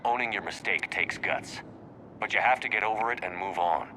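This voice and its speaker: A man playing a steady, commanding military officer in a video game, direct and authoritative. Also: lowish voice, normal speed, close to an everyday voice. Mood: firm, questioning. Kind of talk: video game dialogue acting. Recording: noisy battlefield ambience behind voice.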